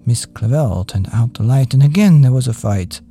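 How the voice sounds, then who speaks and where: A woman reading a rhyming children's storybook as a podcast storyteller, expressive and measured. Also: extremely low pitch, faint music behind. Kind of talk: scripted storybook narration in rhyme.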